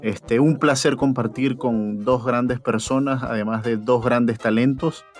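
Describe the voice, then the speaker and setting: News producer; dry and teasing, mid-pitched, soft music underneath. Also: male voice, fairly even intonation, a little slow. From Venezuela. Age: 30s. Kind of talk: casual chat.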